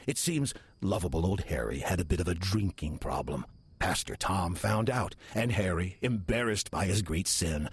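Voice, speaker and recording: An actor playing a sly, smooth-talking demon who poses as a kindly old church deacon, speaking with measured, insinuating courtesy in a horror audio drama. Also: male voice, deep voice, no room sound, heavily acted. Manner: measured, insinuating, mock-polite.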